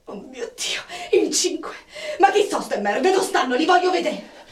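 A woman's voice: high voice, big pitch swings, moderate pace. A woman playing a hot-tempered, distrustful Roman in a radio drama, with a Roman Italian accent and an accusing, exclamatory manner. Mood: distraught, furious. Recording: echoey room, distant from microphone.

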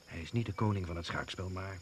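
A man's voice: low voice, fast, close to an everyday voice. An actor playing a sceptical, worldly British secret service man in a Dutch radio drama, giving a terse, confiding briefing. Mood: matter-of-fact, wry. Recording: noisy old radio play recording.

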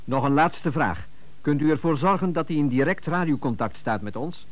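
An actor in a radio play, playing a courteous, careful police dispatcher who gives orders in measured, official phrases. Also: male voice, fairly high pitch, animated, lo-fi sound, close to an everyday voice. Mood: calm, official. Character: formal, careful male police dispatcher in command.

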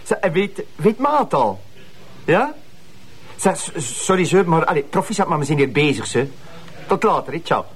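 Excitable, lively Flemish detective. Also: male voice, fairly high pitch, moderate pace, a little hiss or hum. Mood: excited, proud. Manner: colloquial, excitable. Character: excitable, grumbling male junior police detective. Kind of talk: naturalistic TV police drama acting.

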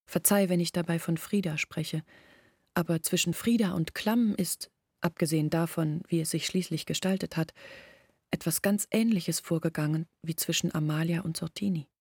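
An actress playing an earnest, bitter village woman in a German radio drama, reasoning insistently and intimately in a husky, creaky voice. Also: on the low side, moderate pace, a little mellow, dry recording, clean studio sound. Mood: grave, insistent.

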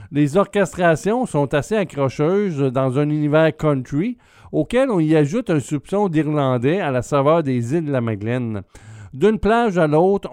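A male radio host with a smooth, resonant voice, recorded dry and close. He presents an album in an easygoing, conversational way. He often pauses on hesitations mid-sentence. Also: medium pitch, darkish tone, no echo.

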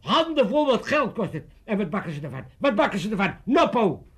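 An actor playing an excitable, self-important older father in a comic radio play, rambling and stammering pompously. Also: high-pitched, brisk, slightly rough, heavily acted. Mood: excited, pompous. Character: excitable self-important old Amsterdam father, dreaming of politics.

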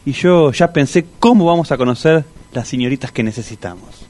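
A man's voice: mid-range voice, expressive, a little fast, darkish tone, lightly acted. An actor playing a stubborn, lonely man in his sixties with a Rioplatense Argentine accent, insistent and plaintive, in a comic radio drama. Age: 60s. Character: Latorca, stubborn, lonely older man eager to meet women.

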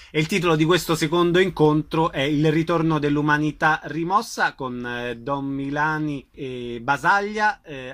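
A man's voice: a little high, a bit bright. A man hosting a radio interview, asking questions and recapping event details. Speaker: radio host.

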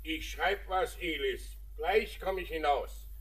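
An actor playing a thoughtful, middle-aged father and mine master, measured and reflective in a poetic radio drama. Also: a little high, fairly bright tone, husky, heavily acted, poor audio. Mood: grave, kindly. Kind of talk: poetic German radio play acting.

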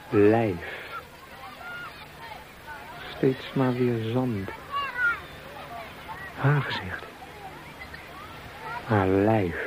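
A man in a radio play, talking slowly and obsessively, repeating words over and over. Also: mid-range voice, vocal fry, background noise. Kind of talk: radio drama monologue.

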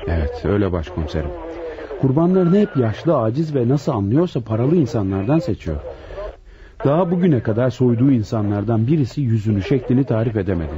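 A man's voice: medium pitch, big pitch swings, fairly quick, a crowd in the background, lightly acted. An actor playing an earnest, frustrated police detective deferring to his superior in a radio drama. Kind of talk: radio play acting. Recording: muffled old radio drama recording.

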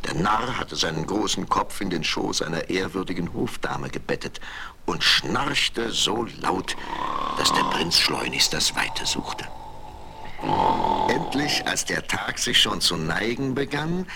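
An actor as an expressive, dramatic narrator of a 1970s German fairy-tale audio drama. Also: male voice, deep voice, vocal fry, full character voice. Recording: faintly noisy vinyl record.

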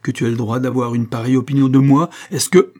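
Man voicing a passionate, husky young woman. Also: on the low side, a little fast, dark tone. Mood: indignant. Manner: imperious and emphatic, swinging to pleading. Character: passionate, proud young married woman pleading with her father.

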